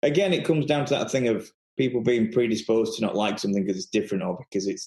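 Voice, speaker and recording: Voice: mid-range voice, steady tone, quick pace, dark tone, echoey room. A speaker in casual film chat, making points emphatically and repeating them for emphasis.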